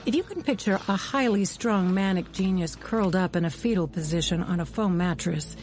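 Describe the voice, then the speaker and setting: An actress playing a woman intelligence veteran, narrating her memoirs in a measured storytelling manner for a radio drama. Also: fairly low pitch, darkish tone, natural voice. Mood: measured, wry. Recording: studio voice over prominent music.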